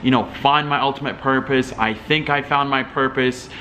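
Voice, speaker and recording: A man's voice: mid-range voice, steady tone, moderate pace, pushed and bright, a little hiss or hum. A young man from Algeria, an entrepreneur vlogger, talking casually and rambling with many fillers and restarts. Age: young adult. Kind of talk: casual talking-head commentary.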